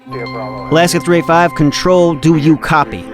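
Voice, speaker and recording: A fairly high-voiced male air traffic controller, played by an actor in an audio drama. He is calm and procedural with a dry, exasperated edge. Music plays clearly underneath. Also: measured pace, lightly acted. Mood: focused, concerned.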